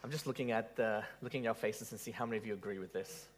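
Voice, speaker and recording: A man's voice: fairly low pitch, very fast, slight background noise. A man who grew up in Malaysia, preaching a conversational sermon to a live audience, joking and putting questions to the room.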